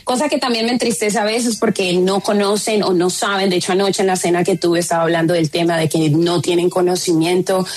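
A Colombian woman, a content creator guest in an interview, telling her story in an animated and emphatic way. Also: on the low side, brisk, few pauses.

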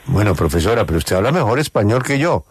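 A man's voice: fairly low pitch, big pitch swings, fast talker, husky, no room sound. A man hosting a live radio interview, speaking emphatically and repeating key phrases.

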